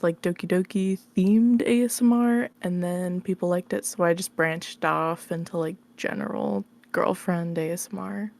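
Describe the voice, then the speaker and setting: A woman chatting informally as an interview guest, giggly and a bit hesitant in her phrasing. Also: on the low side. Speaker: interview guest, ASMR roleplay creator. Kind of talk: casual interview chat.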